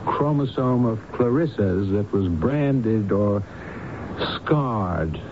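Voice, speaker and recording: An actor playing a calm, authoritative doctor and hypnotist in a radio drama, speaking in a measured, reassuring, professional way. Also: male voice. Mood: reassuring, explanatory. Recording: dull, noisy vintage radio broadcast with rumble.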